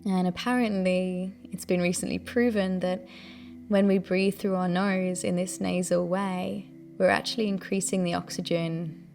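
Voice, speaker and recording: A woman leading a guided breathing meditation, giving gentle, soothing instructions in a calm, unhurried way. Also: lowish voice, gravelly.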